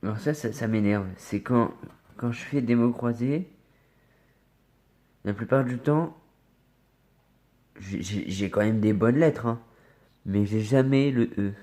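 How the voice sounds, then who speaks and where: A man's voice: medium pitch, takes it slowly, long pauses, warm tone. A man with a cold chatting casually to viewers as he scratches lottery tickets, often muttering and spelling out letters one at a time. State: has a cold. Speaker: scratch card vlogger.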